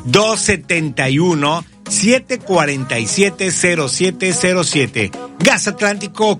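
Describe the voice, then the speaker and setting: A man announcing a radio commercial in a punchy, upbeat sales pitch.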